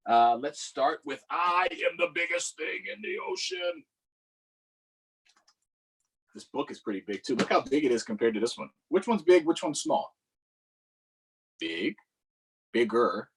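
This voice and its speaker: Children's storytime host: American man, husky mid-range voice, upbeat, casual and conversational. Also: mid-range voice, a bit bright, low-quality recording. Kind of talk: casual livestream talk to children.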